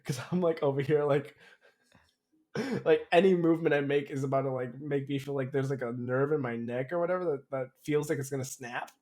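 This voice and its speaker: A man's voice: medium pitch, a little fast. A man co-hosting a podcast, telling stories in casual slang and acting out what other people said. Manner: animated slangy retelling, quoting others in dialogue. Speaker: podcast co-host. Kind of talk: casual chat, storytelling.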